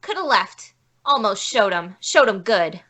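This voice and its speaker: Actress as bitter female con artist, radio police drama, bright sharp voice, very animated, indignant, theatrical. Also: medium pitch, unhurried. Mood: bitter, defeated. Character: young failed actress forger posing as indignant old woman, bitter.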